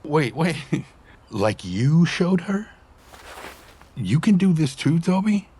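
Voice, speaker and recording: A male actor playing a bewildered, questioning man in a motion comic, with a husky voice and an incredulous, halting delivery. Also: fairly high pitch, very animated, mellow, heavily acted. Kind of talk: scripted cartoon dialogue.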